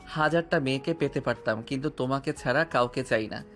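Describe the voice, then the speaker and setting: A man's voice: mid-range voice, a little fast, a bit bright, close to an everyday voice. An actor playing a playful, persistent young man who courts a woman with teasing affection. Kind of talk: Bengali audio drama acting. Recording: music underneath.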